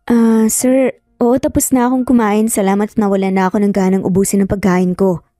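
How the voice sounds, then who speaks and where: Woman as a reserved, mellow-voiced young employee. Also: medium pitch, level tone, fairly quick, dry recording, studio quality, faint music behind, natural voice. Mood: tense, formal. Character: reserved, polite but firm young female employee. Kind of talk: Tagalog audio story dialogue.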